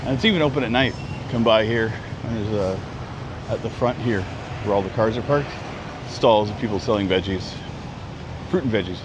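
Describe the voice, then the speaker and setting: A man's voice: fairly low pitch, normal speed, pauses often, dull audio. A man in his fifties from Canada, chatting casually on a live travel vlog, rambling with lots of ums and restarts. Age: 50s. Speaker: travel vlogger. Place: outdoors at a busy market street. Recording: street traffic noise outdoors. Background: traffic and street noise.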